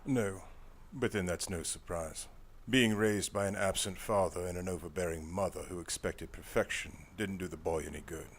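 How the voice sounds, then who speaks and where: A man's voice: low voice, moderate pace, husky. A man playing a formal, dry, weary older private investigator in an audio drama, speaking in precise, wordy sentences. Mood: regretful. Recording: music behind the voice.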